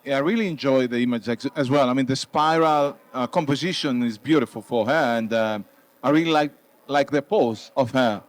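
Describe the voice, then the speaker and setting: A man in his fifties with a Greek accent, giving casual critique in slightly halting non-native English. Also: mid-range voice. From Thessaloniki, Greece. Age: 50s. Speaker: wedding photographer and competition judge. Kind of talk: casual spoken photo critique. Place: booth at a trade show.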